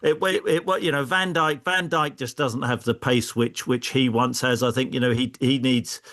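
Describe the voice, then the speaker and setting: Halting middle-aged male football pundit. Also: mid-range voice, fairly even intonation, fairly bright tone. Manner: halting, repeats words and phrases. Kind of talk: conversational football analysis.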